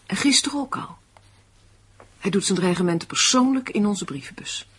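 Radio play: anxious, menaced woman, fretful and defensive, with a rough, breathy sound. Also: medium pitch, expressive, normal speed. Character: anxious woman menaced by threatening anonymous letters. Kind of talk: Dutch radio play acting.

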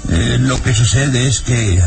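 An actor with a Mexican accent playing a fearful, secretive elderly professor in an old radio serial, halting and breathy. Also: male voice, medium pitch, rough recording. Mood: nervous, evasive. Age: older. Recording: old radio serial with loud background music.

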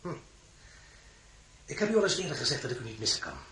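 High-pitched, swooping imperious emperor. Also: male voice, wildly swooping pitch, pushed and bright, slight background noise, heavily acted. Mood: stern, cajoling. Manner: theatrical, commanding, pointed pauses. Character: imperious French emperor, mocking and overbearing. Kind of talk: radio drama acting. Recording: roomy, echoing studio sound.

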